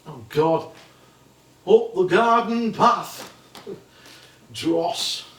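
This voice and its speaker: A man's voice: high voice, expressive, extremely slow, a bit bright, full character voice. A man playing a bitter, working-class English husband, sarcastic and accusing, in theatrical stage dialogue. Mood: mocking. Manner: sardonic, accusing. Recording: echoey theatre stage, distant microphone.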